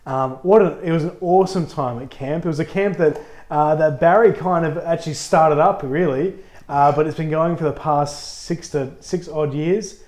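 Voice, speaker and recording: A man who is a pastor, co-hosting a casual podcast, a little husky from a cold, upbeat and chatty. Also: on the high side, normal speed, darkish tone. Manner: upbeat, chatty, laughs easily.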